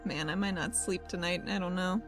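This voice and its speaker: A woman's voice: fairly low pitch, fairly even intonation, brisk, over music, natural voice. A woman playing a wry, stubborn adventurer in a tabletop game, talking in a casual, slangy way. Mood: tired, uneasy.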